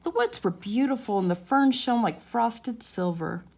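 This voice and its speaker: A woman narrating a children's storybook as a story time reader, in a measured, expressive way. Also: on the high side, gravelly.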